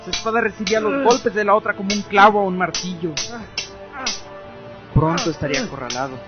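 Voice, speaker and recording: Narrator for audio drama: man, fairly high register, dramatic storytelling read, somewhat dull lo-fi recording. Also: brisk. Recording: muffled audio with music. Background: faint music.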